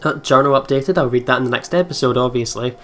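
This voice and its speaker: A man hosting a gaming video, reading story text aloud and thinking out loud in a chatty way. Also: medium pitch, fast talker, a little hiss or hum.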